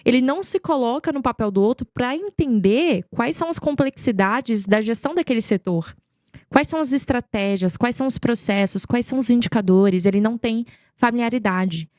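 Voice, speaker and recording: A woman's voice: a little high, a little fast, a little mellow, no room sound. A woman tells stories as an interview guest, talkative and emphatic, often posing rhetorical questions. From Goiânia, Brazil. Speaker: podcast guest, marketing executive. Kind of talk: conversational interview storytelling.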